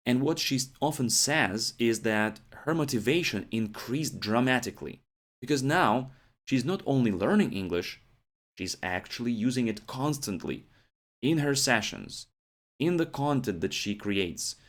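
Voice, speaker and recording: A man's voice: medium pitch, expressive, normal speed. A man, hosting a podcast English lesson and checking in with "yeah?" and "right?". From Ukraine. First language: Ukrainian. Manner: explains steadily, often adds "yeah?" and "right?" checks. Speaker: English teacher, podcast host.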